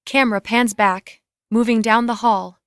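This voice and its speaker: A woman's voice: fairly high pitch, steady tone, normal speed, smooth, no room sound, clean studio sound. A woman narrating scripted screenplay scene directions in a matter-of-fact way.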